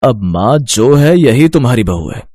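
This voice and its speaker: A man voicing an affectionate young husband in a cartoon story, gentle and reassuring. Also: medium pitch, sing-song, a little slow, dry recording.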